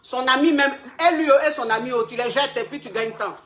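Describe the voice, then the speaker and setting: A woman's voice: sits high, brisk, a bit bright. A woman hosting a live advice call, blunt and repetitive, calling the caller "ma chérie". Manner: blunt, calls caller "ma chérie", repeats herself. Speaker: advice show host.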